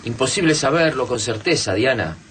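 A man's voice: mid-range voice, expressive, normal speed, close to an everyday voice. A man playing a thoughtful, reasoning survivor in a radio play, with an Argentine accent. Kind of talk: radio drama acting. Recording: radio drama with clear background noise.

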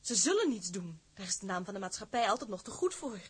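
An actress playing a timid, lovelorn young fiancée in a period drama, halting, formal and emotional. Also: female voice, medium pitch, sing-song, slightly rough. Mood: anxious, tearful. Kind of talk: Dutch period radio drama acting.

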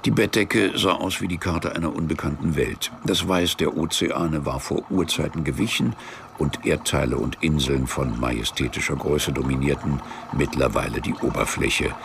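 A man narrating a scripted literary radio drama as a wry storyteller, measured and deadpan. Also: rumbling low, gravelly, noisy background. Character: dry, wry storyteller of a travel tale.

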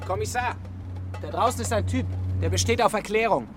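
A man's voice: high voice, fairly quick, faint music behind, close to an everyday voice. An actor playing a flustered junior policeman reporting to his boss in a German radio crime drama. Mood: flustered, urgent.

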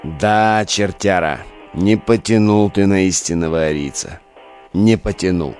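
An actor playing a needling, gruff relic digger in an audio drama, sarcastic and slangy as he taunts. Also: low voice, measured pace, a little mellow, soft background music. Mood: mocking.

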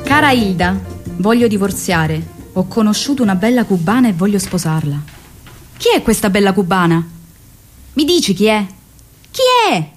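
An actress plays a young Cuban revolutionary woman in a stage drama, looking back on her love. Her voice sits at a middle pitch and her delivery is intimate and emotional. Soft music plays underneath. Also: expressive, normal speed, close to an everyday voice. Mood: insistent. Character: Cuban revolutionary's young secretary and lover, narrating her memories. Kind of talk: Italian stage drama acting and memoir narration. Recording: music behind the voice.

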